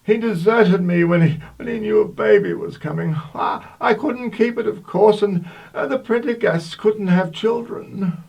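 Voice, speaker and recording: A man voicing an aggrieved old mother in a radio soap, in a creaky falsetto, halting and melodramatic. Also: darkish tone, heavily acted. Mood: melodramatic confession.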